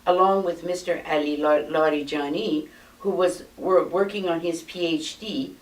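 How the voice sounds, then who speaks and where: An American woman in her eighties reads her own life story aloud from notes in a measured, steady way, seated in a small indoor room. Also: low-pitched. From United States. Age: 80s. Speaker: memoir narrator. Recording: roomy sound from a distant mic.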